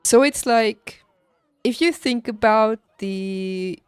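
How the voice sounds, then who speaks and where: A woman game streamer chatting casually, thinking aloud haltingly and laughing easily, as if working out each thought while she talks. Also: fairly high pitch, clear, resonant tone, no room sound. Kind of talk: casual livestream chat over gameplay. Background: game music.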